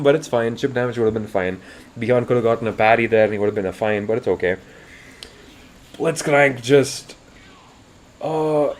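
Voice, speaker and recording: A man from India doing live, excitable fighting game commentary, his voice getting raspy, swinging between hype and quiet asides. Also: fairly high pitch. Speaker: esports commentator.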